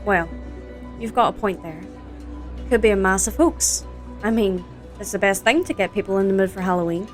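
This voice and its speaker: A woman's voice: medium pitch, moderate pace, pauses often, faint music behind, lightly acted. A young woman playing a skeptical but easygoing college student in an amateur audio drama, speaking conversationally with a sardonic edge.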